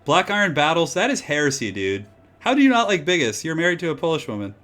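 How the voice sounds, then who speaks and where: A man casting a strategy game tournament live and chatting with viewers, his slightly raspy voice getting hoarse, with excitable loud outbursts. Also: a little high, quick pace. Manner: excitable, breaks into loud exclamations.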